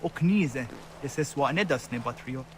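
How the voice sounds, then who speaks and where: An actor playing a solemn, earnest villager in a historical video game, speaking in measured dramatic dialogue. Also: male voice, mid-range voice, lively intonation, a little hiss or hum, lightly acted. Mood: earnest, insistent.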